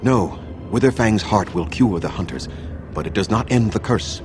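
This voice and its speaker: An actor playing an ancient, unforgiving elven mage in a video game, speaking in a stern, formal and scornful manner. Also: male voice, lowish voice, expressive, moderate pace, darkish tone, soft background music, lightly acted. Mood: bitter, scornful.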